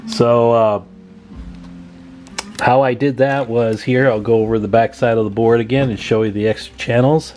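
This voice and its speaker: A man's voice: medium pitch, steady tone, moderate pace, darkish tone. A man giving a casual, hands-on demonstration as a hobbyist video host, speaking informally and folksily to his viewers. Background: plucked guitar music.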